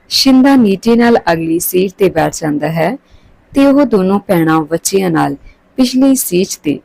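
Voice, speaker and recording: A woman's voice: lowish voice, darkish tone, no room sound, low-quality recording. A woman reading a scripted story aloud as a narrator.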